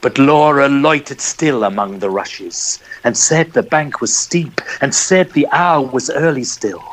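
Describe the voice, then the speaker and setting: A man narrating a poem dramatically, in a measured and expressive storyteller's manner. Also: mid-range voice, low-quality recording.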